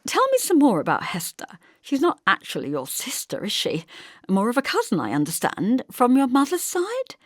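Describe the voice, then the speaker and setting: A woman's voice: fairly high pitch, huge pitch swings, moderate pace, no echo, clean studio sound, voice pushed up. A woman voicing a snobbish, probing middle-aged mother of the groom in an audiobook, with genteel disapproval. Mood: eagerly prying.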